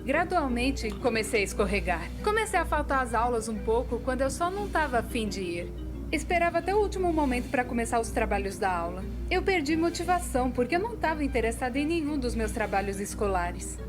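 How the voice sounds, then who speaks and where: Animated high-voiced young Brazilian woman cartoon storyteller, played by an actress. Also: a little fast, music in the background. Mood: wry, reflective. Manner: animated, self-mocking. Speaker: fan-dub narrator of an animated story video. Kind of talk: scripted first-person animated storytelling.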